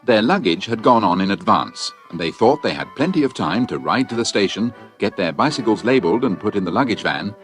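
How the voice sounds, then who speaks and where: A man's voice: on the low side, big pitch swings, fairly quick, few pauses, a bit bright, faint music behind. A man narrating a scripted children's audiobook as a storyteller.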